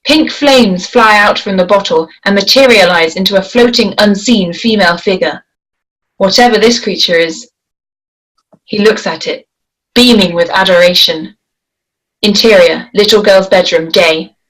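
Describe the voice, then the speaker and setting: A woman reads a screenplay's stage directions aloud at a table read. Her delivery is measured and descriptive, at an ordinary middle pitch. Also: fairly bright tone, poor audio. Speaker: narrator reading stage directions.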